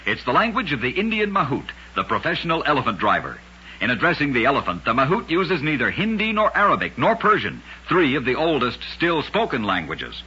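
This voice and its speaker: A man's voice: mid-range voice, wildly swooping pitch, fairly quick, sharp tone, breathy edge. A man hosting and narrating scripted old-time radio trivia stories in a dramatic, emphatic manner. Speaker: radio show host and storyteller. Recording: dull, lo-fi old radio recording.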